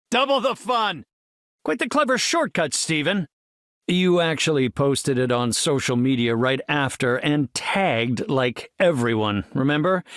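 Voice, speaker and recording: Casting: earnest, brainy middle-aged superhero scientist, video game; formal, articulate delivery, very dry close recording. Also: male voice, fairly high pitch, a bit bright, no room sound. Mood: triumphant, upbeat, then measured, explanatory.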